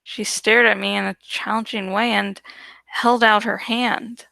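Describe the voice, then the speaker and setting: A woman reading a novel aloud as dramatic first-person narration, with deliberate, suspenseful phrasing. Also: medium pitch, level tone, gravelly, sharp tone, no echo. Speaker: audiobook reader.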